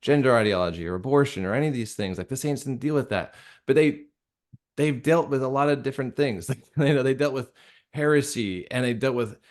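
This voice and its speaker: A man speaking earnestly and haltingly in a conversational interview, repeating phrases for emphasis. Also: mid-range voice. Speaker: interview guest, radio drama creator.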